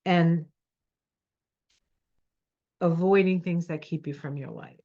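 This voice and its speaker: A woman's voice: lowish voice, fairly even intonation, slow. A woman with a stuffy nose giving a casual, encouraging tarot reading at her home computer. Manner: encouraging, reassuring. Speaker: tarot reader. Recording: dull lo-fi sound.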